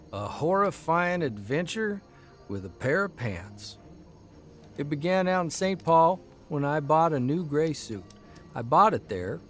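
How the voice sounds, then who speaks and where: A man's voice: on the high side, fairly bright tone. A man playing a grumpy, weary 1930s newspaper columnist and husband in an audio drama, wry, exasperated and folksy.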